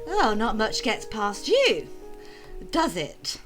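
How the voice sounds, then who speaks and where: A woman acts a sarcastic, tipsy socialite wife in an audio drama. Her voice is bright and fairly high, drawn out with theatrical, swooping mockery, over faint music. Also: wildly swooping pitch, slow pace, exaggerated voice. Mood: boastful, teasing. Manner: mocking, drawling, theatrical. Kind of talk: amateur audio drama acting.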